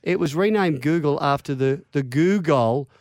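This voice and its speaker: A man co-hosting a sports radio show, animated and jokey, dropping trivia. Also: on the high side, moderate pace, no room sound, clean studio sound. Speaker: radio co-host. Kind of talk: casual sports radio banter.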